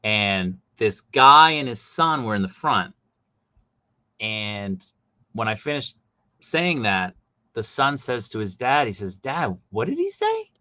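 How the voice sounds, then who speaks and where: A man's voice: medium pitch, huge pitch swings, sharp tone, no room sound, dull audio. A middle-aged man with a Boston accent, a guest toy collector chatting casually and telling jokey stories. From Boston, USA. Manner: jokey, chatty anecdotes with quick bursts. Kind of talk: casual chat, storytelling.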